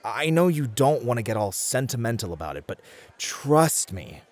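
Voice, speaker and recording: A man acting in an ASMR roleplay as a smooth, confident, flirty club host who reassures and charms. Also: mid-range voice, big pitch swings, moderate pace, close to an everyday voice. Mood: reassuring, suave.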